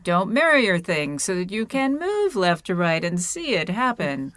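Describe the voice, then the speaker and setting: A middle-aged woman chatting and explaining as an interview guest, animated, playful and self-deprecating. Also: mid-range voice, moderate pace, no room sound. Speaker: guest puppeteer and author. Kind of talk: casual interview chat with explanations. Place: small room at home, video call.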